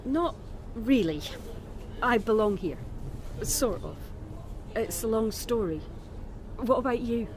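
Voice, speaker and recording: A woman's voice: fairly high pitch, animated, slow pace, long pauses, a little mellow, a little hiss or hum. An actress playing a flustered, apologetic, scatterbrained young woman in a naturalistic audio drama. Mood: shy, friendly. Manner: apologetic, flustered, self-deprecating.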